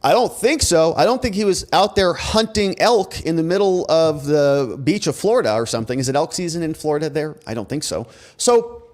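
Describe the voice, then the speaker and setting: A man's voice: a little high, animated, brisk, few pauses. An American man hosting legal commentary, reading documents aloud with emphatic, conversational asides and sarcastic rhetorical questions. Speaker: host, criminal defense lawyer.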